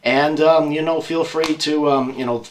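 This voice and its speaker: A man narrating an amateur audio drama in an informal, halting way. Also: fairly high pitch, fairly even intonation, a little hiss or hum, low-quality recording. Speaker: narrator and solo performer.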